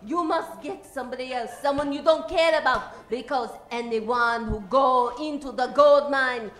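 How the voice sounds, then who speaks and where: A woman's voice: high-pitched, normal speed, a bit bright. An actress playing a melodramatic, bossy Frenchwoman with a French accent and broken English in a comic old-time radio play. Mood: melodramatic, urgent. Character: melodramatic French woman, bossy and dramatic club acquaintance. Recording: roomy, echoey stage recording.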